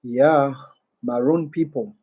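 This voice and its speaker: Slow-talking Ugandan man, casual host. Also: mid-range voice, dark tone. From Western Uganda. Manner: rambling, muses aloud and reads viewer comments. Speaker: YouTuber hosting a live Q&A. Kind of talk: casual live-stream chat.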